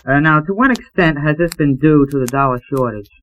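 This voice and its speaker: Young male student questioner, lightish pitch, polite and formal, faintly noisy vintage disc. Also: fairly quick, a bit bright. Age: young adult. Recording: noisy, clicking old 78 rpm acetate disc.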